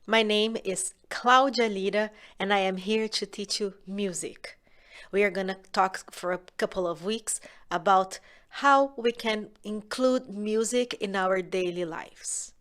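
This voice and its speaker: A woman from Brazil with a Brazilian Portuguese accent, teaching a music lesson to children in a gentle, encouraging way that often drops to a soft whisper. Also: mid-range voice, unhurried.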